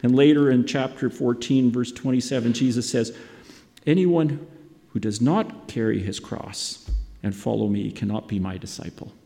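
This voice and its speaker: A middle-aged man preaches in a folksy, conversational way, with a gravelly, creaky edge to his voice. His medium-pitched speech rings through a large hall with long reverb, moving between anecdote and scripture. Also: normal speed, dark tone. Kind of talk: sermon with personal anecdotes.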